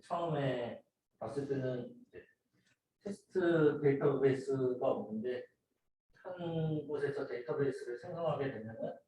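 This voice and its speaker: A man giving an informal, somewhat halting student project presentation, explaining slides and a demo step by step. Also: medium pitch, mellow. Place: indoor room, voice picked up from a distance. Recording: very echoey room, distant mic, noisy.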